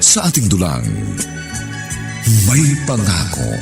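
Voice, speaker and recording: Slow, sweeping male radio drama announcer. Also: low-pitched, huge pitch swings, music in the background.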